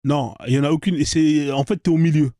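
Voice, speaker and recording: A man's voice: fairly high pitch, fairly even intonation, fast talker, warm tone, no echo, studio quality. A man hosting a live online debate, pressing his guest with repeated, insistent questions.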